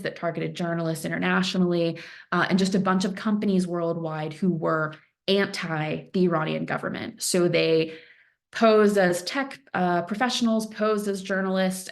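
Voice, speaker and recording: A woman's voice: fairly low pitch, vocal fry. A woman giving an earnest presentation as an analyst, stressing points by repeating phrases, with fillers like "um" and "you know". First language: Farsi speaker. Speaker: threat intelligence analyst presenting. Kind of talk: webinar presentation.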